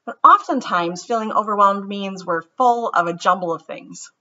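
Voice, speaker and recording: A woman giving a gentle, reflective introduction, then reading a children's book aloud. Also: medium pitch, normal speed. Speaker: read-aloud presenter. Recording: slightly muffled recording.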